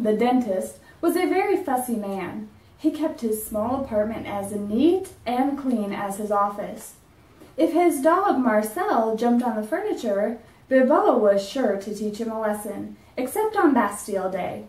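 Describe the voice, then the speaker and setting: A woman's voice: on the high side, very animated, measured pace, darkish tone. Woman teacher reading a storybook aloud. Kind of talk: reading a picture book aloud. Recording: roomy sound from a distance.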